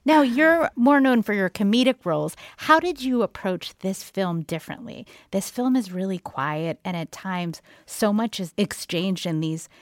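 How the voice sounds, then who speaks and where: A woman's voice: mid-range voice, lively intonation, normal speed, few pauses, no echo, studio quality. A woman interviewer talking conversationally, reflective and sharing personal thoughts. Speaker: radio interviewer.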